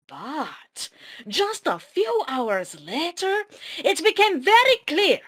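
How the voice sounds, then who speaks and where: A cryptic fantasy warrior woman played by a woman in a table read, husky and unhurried. Her delivery is grave and dramatic, with pitch that swings widely as she shares secrets. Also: a bit bright, full character voice.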